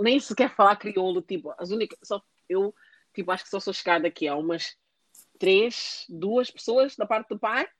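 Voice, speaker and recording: A woman's voice: medium pitch, no room sound. A young adult woman in casual conversation, rambling and full of fillers, often restarting her sentences. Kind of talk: casual chat.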